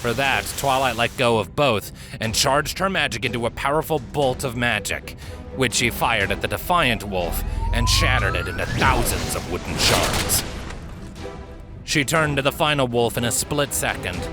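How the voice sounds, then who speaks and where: A man reading fiction aloud as a dramatic story narrator, with theatrical, deliberate emphasis. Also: mid-range voice, expressive. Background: background music.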